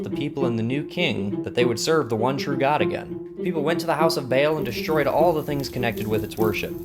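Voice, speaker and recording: A male narrator reads scripted story passages for an audio drama. His voice sits at a medium pitch, and guitar music plays clearly behind him.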